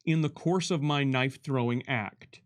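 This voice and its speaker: A man's voice: medium pitch, a little slow. A man in casual conversation as a game developer, explaining and answering questions, rewording carefully as he thinks aloud. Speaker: game developer giving commentary.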